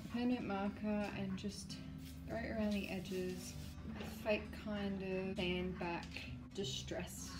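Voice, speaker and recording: A young woman with a cold-hoarse voice, chatting casually as she walks through a home DIY project. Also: mid-range voice, level tone, slow pace. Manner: chatty, explains steps as she works. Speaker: DIY vlogger. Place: small home rooms, nursery. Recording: echoey room with guitar music behind.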